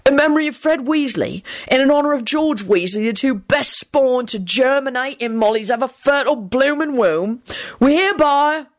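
A woman's voice: high voice, animated, normal speed, voice pushed up. A woman voicing sweary, bickering male wizards who act mock-solemn in a story reading. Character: sweary, bickering marauder wizard men, mock-pompous oath makers.

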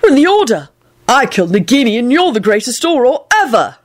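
An impulsive young wizard with a shrill, squeaky voice, put on by a woman. His pitch leaps high and wide with eager excitement. This is a read-aloud storybook voice. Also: fast. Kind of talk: fan fiction reading.

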